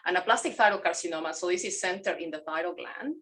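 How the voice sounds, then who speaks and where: Mexican-born woman, halting case presentation. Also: lowish voice, fairly bright tone. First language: Spanish. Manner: halting, many fillers and self-corrections. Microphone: video call.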